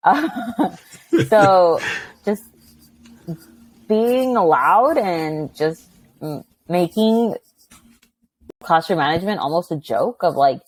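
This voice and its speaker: A woman guest who is a high school teacher, chatting loudly and animatedly in a casual interview. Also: slow, pauses often, low-quality recording. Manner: loud, chatty, animated, many "like" fillers.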